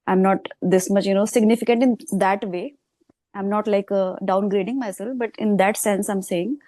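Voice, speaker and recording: A woman with a mellow, soft-toned voice speaks as a channel host in casual conversation. She talks reflectively, searching for words with many pauses and fillers. The sound is dry and close to the mic. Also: medium pitch, no room sound. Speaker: YouTube English teacher, host.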